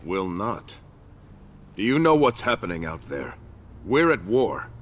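A man playing a battle-weary hero in a video game, husky and grave. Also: low-pitched, very animated, a little slow, full character voice. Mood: grave, indignant. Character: battle-weary hero pleading with an old ally. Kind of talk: video game drama acting.

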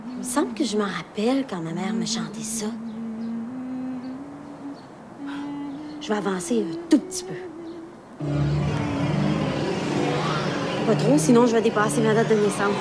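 An actress playing an excitable young hero with a Québécois accent, exclaiming and impatient. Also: female voice, a little high, normal speed, pauses often, very noisy, natural voice. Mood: excited. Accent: Québécois French.

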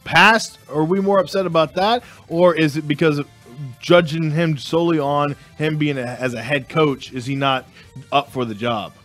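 Playful co-host with a raised voice. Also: male voice, a little high, normal speed, a bit bright, faint music behind. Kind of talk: casual sports talk show. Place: studio. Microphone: desk microphone on a boom.

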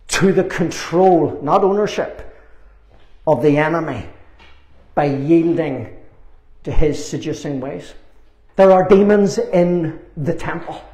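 A man in his sixties preaching a fervent sermon, emphatic and repetitive, with deliberate pauses, on a lapel mic in a small church meeting room. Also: on the high side, a little mellow. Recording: roomy, echoing meeting room.

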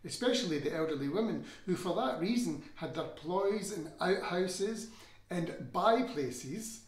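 High-voiced Scottish man reading a story. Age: middle-aged. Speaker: reader of a novel excerpt. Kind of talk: expressive literary reading in Scots-flavoured English. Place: small furnished room indoors. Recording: roomy indoor echo.

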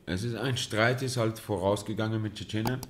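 A man's voice: on the low side, brisk. A young man from Vienna, telling his story casually as an interview guest. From Vienna, Austria. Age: young adult. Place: small indoor studio lounge. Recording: echoey room, faint noise.

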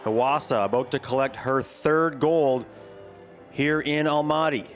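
A man giving measured play-by-play commentary on a ski jumping competition, speaking as a voice-over. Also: medium pitch, soft background music. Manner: calm, measured play-by-play, lifting on big jumps. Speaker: sports commentator.

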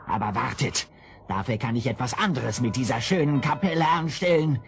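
An actor playing an old evil sorcerer in a children's radio play, blustering and vengeful, with theatrical exclamations and gloating. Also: male voice, medium pitch, vocal fry, soft background music, natural voice. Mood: menacing. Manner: theatrical, exclaiming, drawn-out cackling. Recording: noisy vintage children's record.

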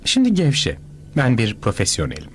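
A man's voice: medium pitch, huge pitch swings, moderate pace, a little hiss or hum. An actor voicing a smug male superhero therapist in a cartoon dub, patronizing and probing with professional airs. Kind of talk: animated cartoon dub acting.

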